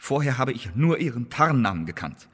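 Accused secret agent, radio drama, defensive, earnest, emphatic, husky mid-pitched voice, long hall reverb. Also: male voice, very animated, brisk. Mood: pleading, hesitant. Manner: emphatic, formal pleading. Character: accused male spy, defensive, earnest, stubbornly protesting his innocence. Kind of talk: German radio play acting.

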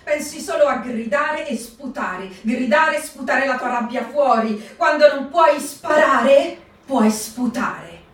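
Woman doing an intense staged reading, kinda high, far from the mic, echoey. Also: lively intonation, slow pace, a bit bright. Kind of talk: dramatic theatrical reading. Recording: echoey radio broadcast from far off.

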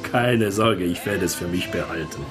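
A man's voice: low voice, normal speed, over music, close to an everyday voice. An actor playing a discreet, sly and courteous Japanese bartender in a radio play, polite and theatrical in his manner. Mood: teasing, confiding.

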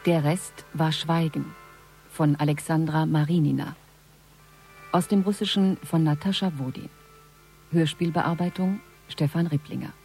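An announcer formally reading scripted title and cast credits for a radio play in a measured way. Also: mid-range voice, steady tone, a little mellow, soft background music.

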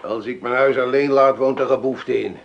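An actor playing a gruff, distrustful old farmer in a radio drama, talking in a blunt, grumbling way. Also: male voice, medium pitch, steady tone, moderate pace, natural voice. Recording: dull lo-fi radio play recording.

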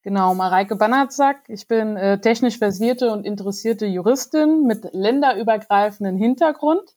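A woman lawyer guesting on a podcast, giving measured, formal explanations with some hesitations. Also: medium pitch, mellow. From Germany, grew up in Hesse. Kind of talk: explaining in an interview. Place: remote call.

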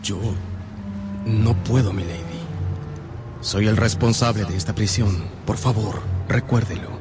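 An actor playing a dutiful prison warden, grave and hesitant, restrained in a radio drama style. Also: male voice, fairly low pitch, unhurried, over loud music, natural voice. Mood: reluctant. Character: dutiful, incorruptible prison warden, softening under temptation.

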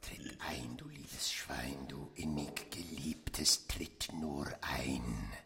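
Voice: extremely low pitch, extremely slow, noisy background, exaggerated voice. An actor playing a fawning, gluttonous banquet host in a radio play, bossy and theatrical, slipping between stage whisper and exclamation. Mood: fawning, eager. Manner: breathy stage whisper breaking into swooping exclamations.

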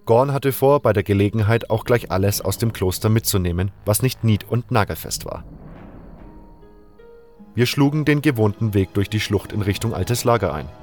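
A man's voice: lowish voice, animated, moderate pace, a little mellow, soft background music. A man reading scripted first-person narration for a fantasy audio drama.

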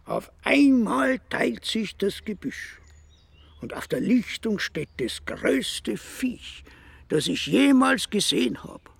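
An actor playing a grumbling old village hunter with an Austrian accent in a radio play, slow and drawn-out. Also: male voice, high voice, very animated, gravelly, slight background noise, full character voice. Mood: resigned, storytelling. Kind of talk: radio play acting in dialect.

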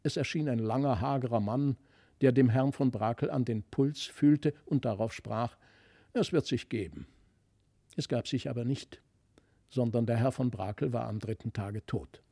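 A man's voice: mid-range voice, normal speed, gravelly, warm tone, no room sound. A man narrating a literary fairy tale expressively, with a solemn, dramatic delivery in a studio. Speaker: audiobook narrator. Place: dry studio.

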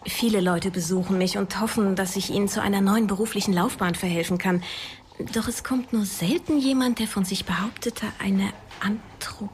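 A woman's voice: medium pitch, moderate pace, a little hiss or hum, close to an everyday voice. A woman playing a nervous, officious job agent in a fantasy radio drama, stammering politely and fussing. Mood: hesitant, polite.

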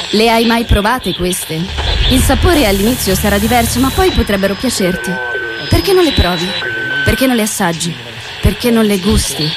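A woman's voice: medium pitch, very noisy, lightly acted. A young actress playing a sardonic young woman who scrapes by on odd jobs, in a declamatory stage monologue. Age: young adult. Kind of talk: theatrical monologue, list-like recitation. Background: music.

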